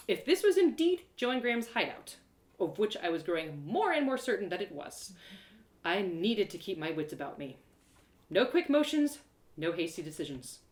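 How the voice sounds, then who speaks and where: Audio drama lead: excitable young adventuress voiced by a woman, self-mocking storyteller, very animated intonation, roomy live reading. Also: mid-range voice, natural voice. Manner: theatrical, breathless exclamations, gasps, self-deprecating humour. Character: excitable young heroine narrating her woodland adventure.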